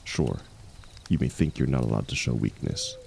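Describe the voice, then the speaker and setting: Man acting a very deep, gravelly veteran mercenary. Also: lively intonation, a little hiss or hum, full character voice. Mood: tender, serious. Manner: gentle, teasing, unhurried, colloquial. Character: seasoned ex-mercenary warrior man, gruff, kindly, teasing companion. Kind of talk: intimate fantasy roleplay audio drama.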